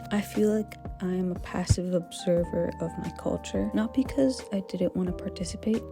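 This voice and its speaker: A young woman from Ecuador narrating a personal documentary vlog in a soft, confessional way, often close to a whisper. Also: lowish voice, creaky. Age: young adult. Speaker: vlogger.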